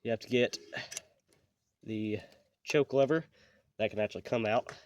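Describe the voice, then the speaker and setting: A man's voice: fairly low pitch, normal speed, darkish tone, lo-fi sound. A man hosting his channel, walking through a repair step by step in a plainspoken, folksy way, sounding sniffly from allergies. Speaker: channel host. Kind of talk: casual how-to repair walkthrough.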